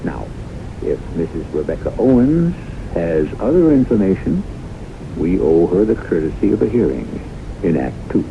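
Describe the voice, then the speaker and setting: An actor as a grave storyteller-host, narrating a 1970s radio drama in a measured, portentous manner. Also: male voice, very animated, vocal fry, heavily acted. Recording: noisy, rumbling, muffled 1970s radio recording.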